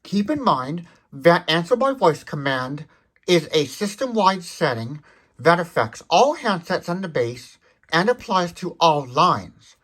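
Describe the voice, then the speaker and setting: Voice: medium pitch, a bit bright. A person giving an unscripted tech demonstration, explaining things in careful detail. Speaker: product demonstrator.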